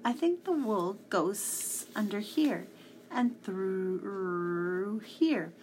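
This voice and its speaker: Woman voicing a slow, coaxing male storybook cat. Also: medium pitch, animated, a little mellow, slight background noise, rough recording. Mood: alarmed. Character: sly, soft-spoken male cat, falsely friendly.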